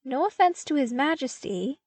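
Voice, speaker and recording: A woman plays a cheerful young man, an outspoken apprentice in an audiobook story. The pitch is lifted and the delivery bouncy and emphatic. The recording is close and dry. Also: animated, normal speed, darkish tone, dry recording. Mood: playfully critical. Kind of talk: fan fiction audiobook character voice.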